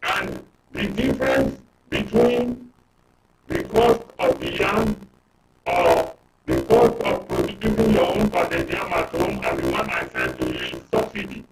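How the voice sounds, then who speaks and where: A man's voice: very deep voice, a little slow, loud music behind the voice. A Nigerian-accented man explaining emphatically as a panel guest, building his points step by step with check questions. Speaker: panel guest, expert commentator. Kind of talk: explanatory live discussion with everyday analogies. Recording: roomy, distant mic, clear noise and clicks.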